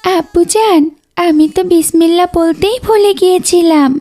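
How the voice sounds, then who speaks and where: A woman's voice: extremely high pitch, normal speed, mellow. A woman voicing a polite, eager little girl, a dutiful daughter in a children's cartoon, speaking carefully and respectfully. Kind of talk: scripted children's cartoon dubbing.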